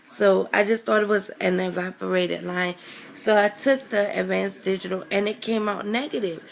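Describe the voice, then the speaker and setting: A young woman vlogging casually with a raspy voice from a chest cold, rambling with many ums and self-corrections. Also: mid-range voice, fairly even intonation, moderate pace. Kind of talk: casual chatty vlog.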